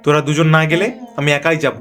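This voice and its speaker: A man's voice: on the high side, brisk, over music, close to an everyday voice. A man voicing a fearless, stubborn young village man in a dramatic cartoon, speaking in resolute declarations. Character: determined, fearless young village man hunting a ghostly tiger.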